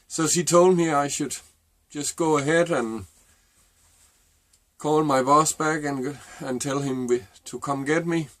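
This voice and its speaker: A middle-aged man with a Danish accent, a native Danish speaker, telling a personal story to camera in a halting, reflective way. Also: fairly high pitch, a bit bright, no echo. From Denmark. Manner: halting and reflective, with many fillers and restarts. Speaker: vlogger.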